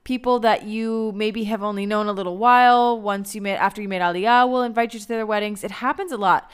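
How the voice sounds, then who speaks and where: Blunt young American woman, smooth voice. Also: mid-range voice, fairly even intonation, fairly quick. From United States. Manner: blunt, candid, swears freely. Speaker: advice vlogger. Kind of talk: casual advice monologue.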